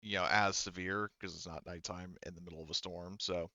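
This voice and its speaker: A man running a tabletop roleplaying game as game master, feeling his way through the narration with halting, repetitive phrasing. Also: low voice, steady tone, sharp tone, no echo, muffled recording. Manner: halting, hesitant phrasing, repeats "you know" and "like".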